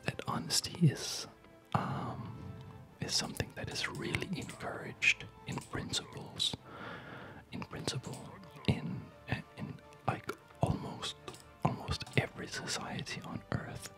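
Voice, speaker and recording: A man whispering in a hesitant, halting, reflective way, as in a rambling ASMR video. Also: bright, forceful tone. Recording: music behind the whisper. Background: music.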